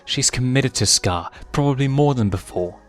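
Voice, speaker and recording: A man's voice: mid-range voice, lively intonation, moderate pace, soft background music, voice pushed up, lightly acted. A man voicing an earnest, conflicted young male lion with a stammering, questioning, pleading manner in a story reading. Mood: anxious.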